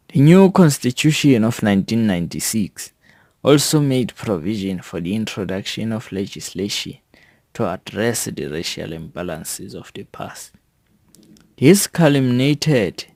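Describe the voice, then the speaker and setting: A man reading an academic article aloud in a formal manner, halting now and then over words. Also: fairly low pitch, darkish tone, dry recording. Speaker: reader of an article.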